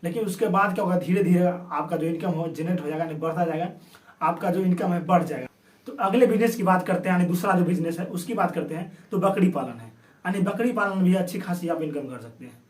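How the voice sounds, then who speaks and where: A man's voice: high-pitched, quick pace. A man with a sore throat, hosting an informal explainer and often rephrasing himself. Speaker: video host. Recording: roomy echo, distant from microphone.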